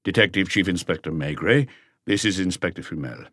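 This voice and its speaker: Man playing a deep, terse police chief inspector. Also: fairly quick, a bit bright, dry recording, studio quality. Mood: calm, grave. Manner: terse, probing questions.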